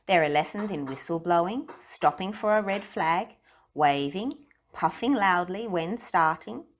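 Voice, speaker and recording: A woman narrating a children's storybook aloud, expressively and with deliberate emphasis on key phrases. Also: medium pitch.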